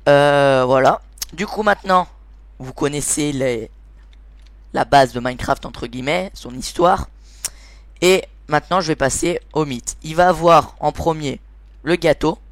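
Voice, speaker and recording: A man with a somewhat high-pitched voice hosts an informal explainer over gameplay. His delivery is hesitant, and he often stops to search for the next word. The sound is very dry, with hardly any room in it. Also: measured pace, dry recording. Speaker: host of a gaming video series.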